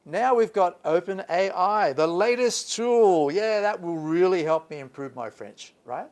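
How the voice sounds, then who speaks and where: A man's voice: sits high, expressive, measured pace, a little mellow. A male lecturer giving a live workshop talk to students, emphatic and repeating points for stress. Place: lecture room.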